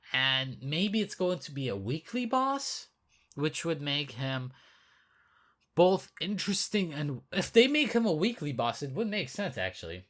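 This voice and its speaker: A hoarse man who is losing his voice, giving casual, rambling commentary on a game update as a video creator. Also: mid-range voice, fairly bright tone. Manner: rambling, filler-heavy, repeats himself.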